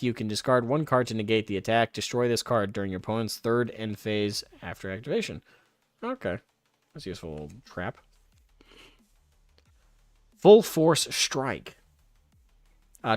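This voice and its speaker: A man's voice: medium pitch, no room sound, clean studio sound. A man streaming casually, reading card text aloud and drifting into rambling personal stories. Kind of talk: casual live stream chat.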